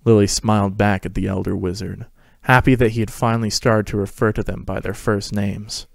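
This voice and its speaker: A man's voice: on the low side, little pitch movement, brisk, vocal fry, no echo, clean studio sound. A man hosting and narrating a dramatic reading of a fan fiction story.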